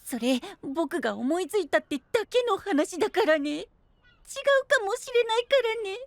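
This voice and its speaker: A man's voice: very high voice, lively intonation, moderate pace, vocal fry, fairly bright tone, no echo, full character voice. A man voicing a childlike, cute and whiny but willing small boy in an anime audio drama. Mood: bashful.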